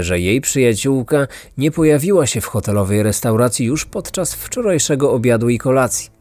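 A man's voice: mid-range voice, a little mellow. Man narrating true crime over music. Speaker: podcast narrator.

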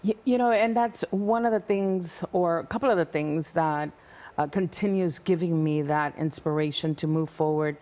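A woman in her thirties from El Salvador, a nonprofit founder talking passionately as a guest in a TV news studio on a lapel mic. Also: lowish voice. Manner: passionate, fast-flowing, animated hand gestures. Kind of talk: TV studio interview.

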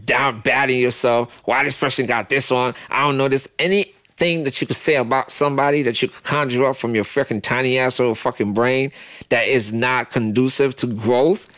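A man's voice: mid-range voice, steady tone, normal speed, fairly bright tone, no room sound. A man from Haiti, a guest in a small podcast studio, talking on a studio mic in a passionate, profane, preachy way. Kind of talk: casual interview talk. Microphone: studio mic on boom.

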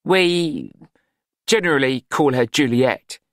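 Audiobook role: brisk, prim, protective young woman, male performer, earnest and easily flustered, lifted pitch. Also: animated, fairly bright tone, dry recording, clean studio sound. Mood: astonished, flustered.

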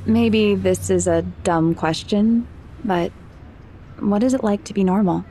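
A young woman playing a sheltered, curious rich teenage girl who speaks softly and hesitantly in an audio drama. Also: mid-range voice, a little slow, slight background noise, natural voice. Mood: wondering.

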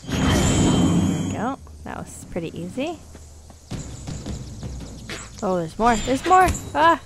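A woman's voice: a little high, sing-song, slow. A woman hosting a gaming video, giving casual commentary as she plays. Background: game music.

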